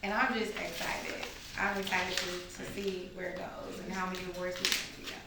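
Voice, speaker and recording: A woman's voice: fairly low pitch, little pitch movement, normal speed. A woman speaking casually and enthusiastically in a group discussion, encouraging the others. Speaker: table read participant. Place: indoor room at a group table read. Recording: echoey room, far mic, hissy noise.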